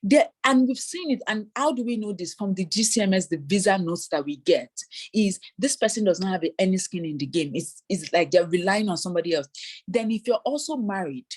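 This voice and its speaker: Woman consultant, mid pitch, explanatory, mimics applicants' lines. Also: animated, fairly quick, a little mellow, husky. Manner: explanatory, voices hypothetical applicants. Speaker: immigration consultant. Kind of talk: explaining advice in an interview.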